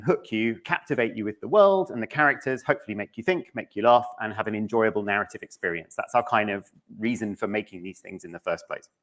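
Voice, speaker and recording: A man speaks as a presenter at a conference. His voice sits at a medium pitch, and he talks in a conversational way, dropping in wry, offhand asides as he addresses the room. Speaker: conference speaker, game studio narrative director. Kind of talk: public conference talk, conversational. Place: conference room in front of an audience.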